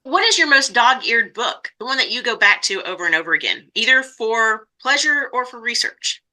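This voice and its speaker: A woman's voice: a little high, animated, moderate pace, bright, forceful tone, low-quality recording. A woman hosting a podcast interview, asking questions in a conversational way. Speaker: podcast host.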